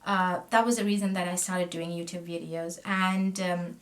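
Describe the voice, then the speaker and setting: A woman's voice: fairly low pitch, steady tone, normal speed. A woman in her thirties from Sri Lanka, chatting at a close camera while doing her makeup, friendly and talkative, often trailing off mid-sentence. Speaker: makeup vlogger. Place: small indoor room, plain backdrop. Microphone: camera facing her at close range.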